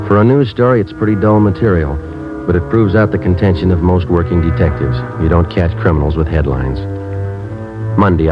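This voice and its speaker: An actor playing a stoic police sergeant who narrates and questions in a matter-of-fact 1950s radio drama style. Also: male voice, low-pitched, natural voice. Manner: clipped, deadpan, matter-of-fact. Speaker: narrator and lead police detective. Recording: dull old radio recording with music.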